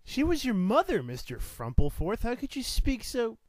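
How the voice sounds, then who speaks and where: A man's voice: extremely high pitch, huge pitch swings, moderate pace, no room sound, exaggerated voice. A man playing a flustered, easily offended radio personality in a comedic audio play, stammering and incredulous as he interviews a guest. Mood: polite, reproachful. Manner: stammering, incredulous, primly scolding.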